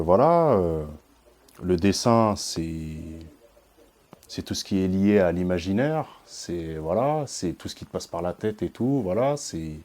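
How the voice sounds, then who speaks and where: A man's voice: low voice, mellow. A man from French Guiana, a music producer and painter, answering interview questions hesitantly with many fillers.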